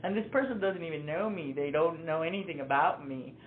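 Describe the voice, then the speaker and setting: A man's voice: on the high side, fairly quick, slight background noise. A young man raised in Mexico answering interview questions earnestly and thoughtfully, with many fillers and restarts. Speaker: interview guest, nonprofit founder. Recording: tinny phone-like line.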